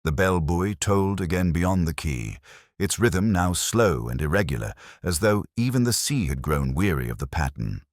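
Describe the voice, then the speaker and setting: Male narrator, very deep and animated, dramatic detective fiction, measured literary phrasing. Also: no room sound, clean studio sound. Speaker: narrator and audiobook reader.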